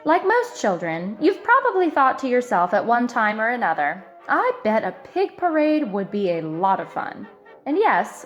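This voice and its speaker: A woman reading a children's picture book aloud in an expressive, emphatic way, stretching out key words. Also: a little high. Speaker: storybook reader.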